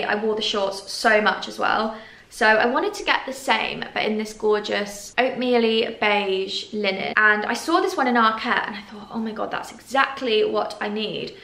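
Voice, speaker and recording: A young British woman in her twenties, chatting enthusiastically to camera in a bright room as she shows clothes. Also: fairly high pitch, reverberant. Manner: gushing and enthusiastic. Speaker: fashion vlogger. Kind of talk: casual clothing haul to camera.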